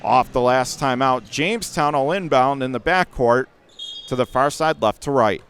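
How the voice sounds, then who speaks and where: A man calling live radio basketball play-by-play in clipped phrases that can burst into excited calls. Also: mid-range voice, normal speed, slight background noise. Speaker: play-by-play announcer. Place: courtside in a high school gym during a game.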